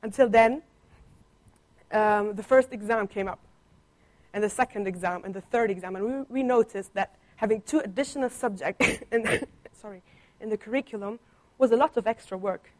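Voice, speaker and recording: A woman's voice: medium pitch, moderate pace. Young woman giving a church testimony. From Germany. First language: Italian and German. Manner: conversational, playful teasing humor. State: slight cough. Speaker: visiting guest speaker on a church placement. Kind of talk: personal testimony in a church talk.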